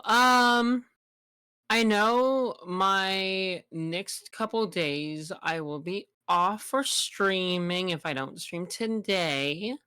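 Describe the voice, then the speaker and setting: Woman, unhurried pace, clean close dry sound, easygoing guest streamer, casual agreeable chat. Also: fairly low pitch, pushed and bright, clear, resonant tone, no room sound.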